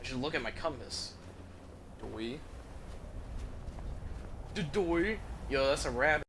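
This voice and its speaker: A man's voice: mid-range voice, moderate pace, creaky, bright, forceful tone, a little hiss or hum. A man giving casual, profane and easily amused commentary while streaming a game from a room at home.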